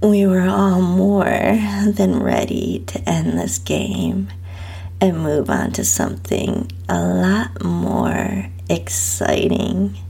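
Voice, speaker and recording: A woman with a breathy, whispery, husky voice narrates a first-person story in an intimate, sultry, hushed manner. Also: on the low side, huge pitch swings. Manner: slow, hushed, sultry delivery. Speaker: host and narrator of own story podcast.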